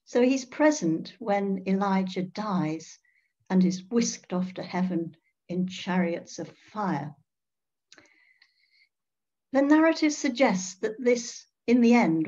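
An older woman giving a reflective sermon in a measured way, pausing often and posing questions to her listeners. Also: mid-range voice, animated, dark tone. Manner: measured, with pauses and rhetorical questions. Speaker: priest preaching. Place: indoor room at home. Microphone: computer mic on a video call. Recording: dull, muffled video-call audio.

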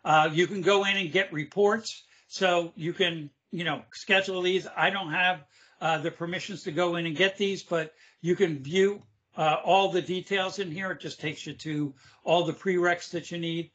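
Male webinar presenter on a video call, slightly hoarse, loose and chatty with fillers, high bright voice. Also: steady tone, moderate pace, dull audio. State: losing his voice, hoarse. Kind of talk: casual screen-share demo.

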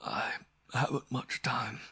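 Man acting a gasping, croaking dying villain. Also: mid-range voice, fairly even intonation, sharp tone, voice pushed up, full character voice. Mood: strained, urgent. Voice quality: gasping, laboring croak, breathy whispers. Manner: halting, strained, melodramatic. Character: dying tortured male villain, desperate, obsessive, bitterly proud aristocrat. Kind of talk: audiobook character acting of pulp story.

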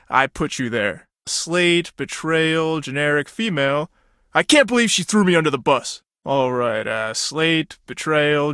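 A vain reality-show man, slick and self-absorbed. His bright, forceful voice sits a little high and is showy and hammy, recorded very dry. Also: clear, resonant tone, no echo. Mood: melodramatic. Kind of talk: video game voice acting.

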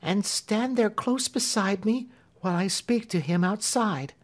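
A man doing a soft, resolute young woman. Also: high-pitched, a little slow, pitched above the performer's own voice. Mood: quiet. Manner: soft, quiet, firm.

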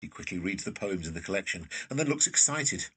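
A male narrator reads scripted scene directions. His voice is on the low side and somewhat husky, picked up a little way from the microphone. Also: very animated, brisk, pushed and bright, far from the mic.

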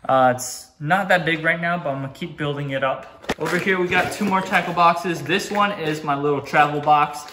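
A teenage boy hosting a fishing channel, showing off his gear in a relaxed, chatty way with plenty of fillers, filmed by a table camera in a small indoor gear room. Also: a little high, moderate pace, few pauses. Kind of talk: casual show-and-tell vlog. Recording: echoey room with noise.

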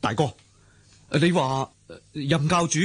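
An actor with a Hong Kong Cantonese accent playing an earnest, respectful young swordsman in a radio drama, excitable and polite. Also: fairly high pitch, slow, slightly rough. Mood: respectful, puzzled.

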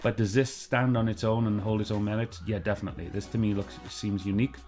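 A quick-talking Welsh man in his middle years, chatting from a sofa at home. His voice sits fairly low, and he rambles along, looping back over his points with plenty of ums. Faint music sits under his voice. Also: fairly even intonation, darkish tone. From Wales. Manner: rambling, repeats himself, many fillers. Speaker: host. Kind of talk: casual review chat. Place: living room, seated on a sofa.

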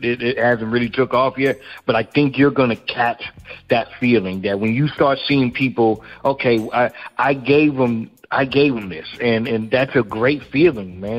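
A male interviewer who asks questions casually and rambles while searching for his words. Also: mid-range voice. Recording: dull lo-fi audio with faint music.

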